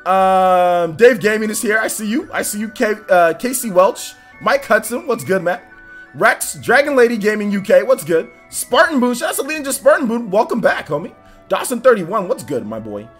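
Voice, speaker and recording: A high-voiced man hosts a casual livestream, greeting viewers by name in quick, upbeat bursts. His nose is a little stuffy, and faint music plays under his voice. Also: sing-song. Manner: upbeat, rapid shout-outs, laughs often. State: slightly stuffy nose after illness. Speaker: livestream host. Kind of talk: casual livestream chat, reading out viewer names.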